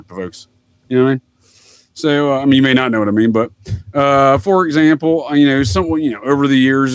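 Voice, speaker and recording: A man's voice: mid-range voice, smooth, no echo. A man from the United States explaining things casually as a podcast guest, with wry, folksy asides.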